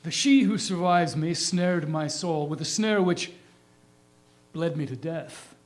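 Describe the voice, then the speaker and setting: Man as a higher-voiced, grandiloquent dead townsman. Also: expressive. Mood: solemn, forlorn. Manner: declamatory, measured, grandiloquent. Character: proud small-town dead man delivering a solemn epitaph. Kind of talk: dramatic monologue, staged poetry reading.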